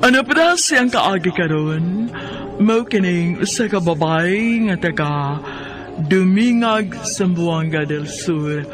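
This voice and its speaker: Slow Cebuano Filipino male radio announcer. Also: sits high, lively intonation. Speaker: radio drama announcer and narrator. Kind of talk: scripted radio drama narration. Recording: music bed behind radio announcing.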